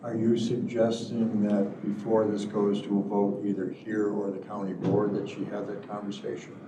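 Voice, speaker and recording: A man serving as hearing officer, asking deliberate, probing questions at a zoning hearing. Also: lowish voice, completely flat delivery. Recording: echoey room, distant mic, noisy.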